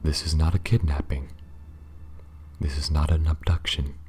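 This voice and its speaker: A man playing a gentle, apologetic alien pilot in an ASMR audio roleplay, speaking softly, often near a whisper, in a careful, halting, formal and reassuring way. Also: steady tone. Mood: anxious, soothing. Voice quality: soft, very low, breathy, often near whisper. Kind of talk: ASMR audio roleplay, scripted monologue addressed to listener.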